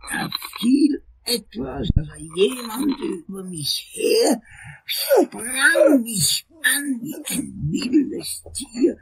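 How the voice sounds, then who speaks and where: An actor playing a fussy, shaken older lawyer in a crime radio play, with a breathy, raspy, halting, long-winded delivery. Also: male voice, very high voice, big pitch swings, fairly bright tone, slight background noise, exaggerated voice, poor audio. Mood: agitated, horrified. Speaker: client, prosecutor character.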